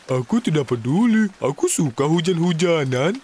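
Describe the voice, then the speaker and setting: A man's voice: a little high, animated, normal speed, a crowd in the background, lightly acted. A man voicing a cheerful, impulsive, childlike little cartoon man in a children's show. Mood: playful. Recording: studio voice over cartoon music.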